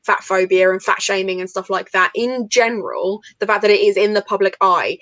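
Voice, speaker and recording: A woman's voice: mid-range voice, steady tone, brisk, fairly bright tone, no room sound. A woman chatting casually and enthusiastically about books as host of an informal group livestream. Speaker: host of a book readalong livestream. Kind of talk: casual group book chat.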